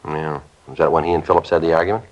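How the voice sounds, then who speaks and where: An actor playing a calm, terse police sergeant in a 1950s radio crime drama, asking flat, matter-of-fact questions. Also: male voice, extremely low pitch, very fast. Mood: calm questioning. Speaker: detective narrator. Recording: muffled old radio recording.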